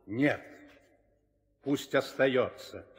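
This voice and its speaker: A man's voice: mid-range voice, extremely slow. An actor playing a cruel, commanding demon king in an old radio play, imperious and theatrical. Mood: cold, menacing. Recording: long reverb, old studio drama recording.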